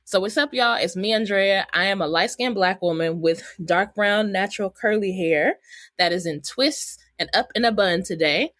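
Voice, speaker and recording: A woman's voice: mid-range voice, moderate pace, fairly bright tone, no echo, studio quality. Upbeat woman podcast host. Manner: upbeat, chatty, laughs easily. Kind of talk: conversational podcast interview. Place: Bay Area.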